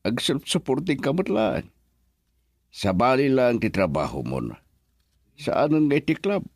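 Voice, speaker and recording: A man's voice: medium pitch, big pitch swings, a little slow, darkish tone, no room sound, clean studio sound. An actor playing a stern, protective, proud middle-aged father in a radio melodrama, firm and emphatic. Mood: stern, advising.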